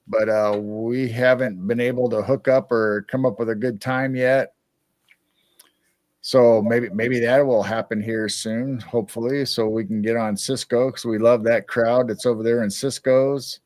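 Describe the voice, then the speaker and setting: A man's voice: medium pitch, fairly even intonation, unhurried, darkish tone, no echo. A man co-hosting a casual live-stream chat, rambling with frequent restarts. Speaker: co-host.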